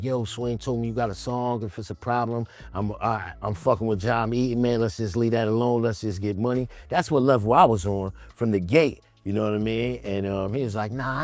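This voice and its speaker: A man casually recounting a past run-in during an interview, relaxed and laid-back. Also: on the low side, a little fast, few pauses.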